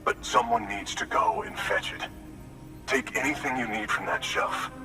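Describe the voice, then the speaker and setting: Guy acting worried dad-to-be in a game, pleading n halting, roomy echo w music under it. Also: mid-range voice, close to an everyday voice. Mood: urgent, pleading. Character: desperate, worried expectant father pleading for help. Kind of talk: dramatic game cutscene acting. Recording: distant, echoing, music underneath.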